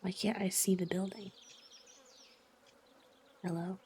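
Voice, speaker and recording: A woman streaming a game, chatting casually and thoughtfully with many fillers, her voice slightly croaky from just waking up. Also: medium pitch, little pitch movement, slow, darkish tone, slight background noise. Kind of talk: casual livestream chat while playing.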